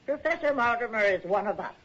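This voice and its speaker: An actress in a radio drama playing a motherly, folksy seaside landlady with a husky voice, on a dull recording. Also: fairly high pitch, lively intonation, fast talker, a bit bright. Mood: matter-of-fact, hospitable. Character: motherly small-town maritime landlady, folksy and coaxing.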